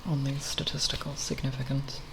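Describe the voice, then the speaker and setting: Soft, very creaky young woman rambling in ASMR. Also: extremely low pitch. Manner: hesitant, halting, self-deprecating, musing. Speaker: ASMR video creator. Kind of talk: ASMR, quiet unscripted rambling. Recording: steady background noise with tapping sounds. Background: plastic bottle tapping sounds.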